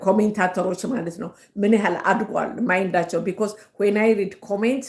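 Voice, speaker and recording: An older Ethiopian woman with an Ethiopian accent, hosting a casual livestream. Her first language is Amharic. She speaks halting English full of fillers and slips quickly into Amharic. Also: mid-range voice, fairly even intonation, darkish tone. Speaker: livestream host. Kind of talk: casual live-stream monologue. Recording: roomy echo.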